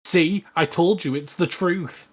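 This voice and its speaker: Male co-host, somewhat high voice, informal movie conversation. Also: measured pace, crowd noise. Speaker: podcast co-host. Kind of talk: casual film chat.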